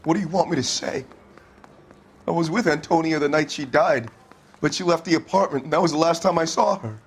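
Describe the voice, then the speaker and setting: An actor playing a husband under police questioning in a crime game, defensive and pleading. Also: male voice, fairly high pitch, a little fast, a little hiss or hum, lightly acted. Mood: evasive.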